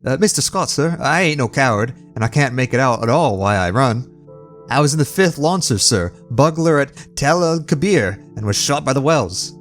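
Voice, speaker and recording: A man's voice: mid-range voice, animated, normal speed, faint music behind, voice pushed up, lightly acted. A man voicing a nervous young bellboy with a Cockney accent, deferential and full of "sir". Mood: uneasy. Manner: deferential, keeps saying sir, rough grammar.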